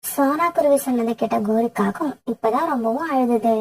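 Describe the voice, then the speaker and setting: A woman with a husky voice narrating a scripted children's story. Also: a little high, normal speed.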